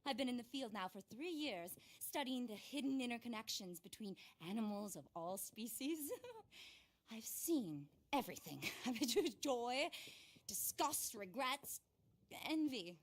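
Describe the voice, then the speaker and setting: A woman's voice: fairly high pitch, animated, unhurried. An actress playing an eccentric, impassioned woman naturalist of the Old West in a video game, theatrical and articulate. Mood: earnest, excitable. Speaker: field naturalist.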